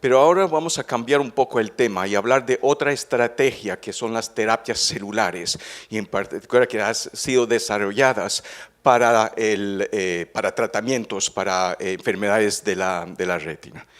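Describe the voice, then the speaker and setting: A man's voice: lowish voice, normal speed. A man with a slightly French-tinged Spanish accent giving a conference lecture, hesitant, with many fillers. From Colombian father, French mother. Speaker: veterinary ophthalmologist speaker.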